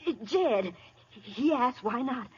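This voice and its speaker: Through a dull vintage radio broadcast comes a very rough, husky country woman. She is a superstitious rural American wife who stretches out her warnings ominously. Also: on the high side, expressive, slow pace, full character voice. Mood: ominous, fearful. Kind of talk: 1930s-40s radio comedy-drama acting.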